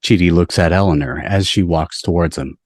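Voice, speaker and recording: Casting: male stage-direction reader for a script table read, low voice, dry sound. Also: normal speed, no room sound. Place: home, on a video call.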